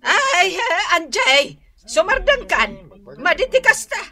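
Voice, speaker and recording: A woman's voice: very high-pitched, sing-song, slow pace, sharp tone, full character voice. A woman with an Ilocano accent playing a flustered, scolding neighbour in a radio drama.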